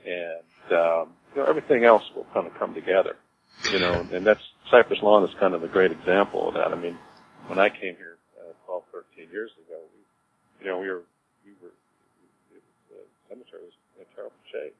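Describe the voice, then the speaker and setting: Man, deep voice, executive interviewee, halting explanations, repeated words, muffled recording. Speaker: cemetery executive being interviewed. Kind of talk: informal interview answers. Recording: dull lo-fi audio with clear noise.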